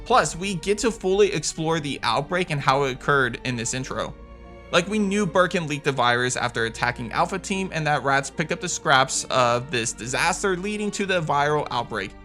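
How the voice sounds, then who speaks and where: Male countdown host for gaming videos: forceful, bright delivery, enthusiastic, music underneath. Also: fairly high pitch, animated, moderate pace. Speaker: video game channel host. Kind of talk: scripted countdown commentary. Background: background music.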